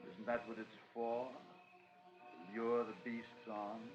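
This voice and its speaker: A man's voice: mid-range voice, little pitch movement, takes it slowly, highs cut off, lightly acted. Radio drama: male actor as sardonic, insinuating ladies' man, mid-range voice, music underneath. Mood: sly, seductive. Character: suave, pushy male seducer at a party. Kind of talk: 1950s radio acting.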